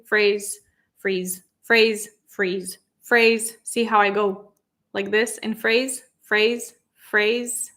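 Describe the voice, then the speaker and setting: A woman in her thirties from Moldova, a Romanian speaker, teaching English pronunciation on a live stream, patiently repeating words slowly and chatting casually. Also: mid-range voice. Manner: relaxed and chatty with fillers.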